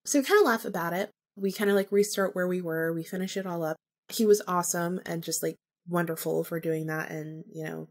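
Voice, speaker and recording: A woman podcast host telling a personal story casually, in an animated, incredulous way with blunt swearing. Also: medium pitch.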